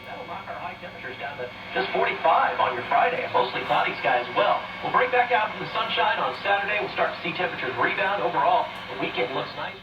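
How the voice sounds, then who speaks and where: A man's voice: sits high, expressive, fast, hardly any pauses, noisy background. A man reading a broadcast weather report, heard through the speaker of an old radio. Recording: distant, dull old radio speaker, echoey, loud music.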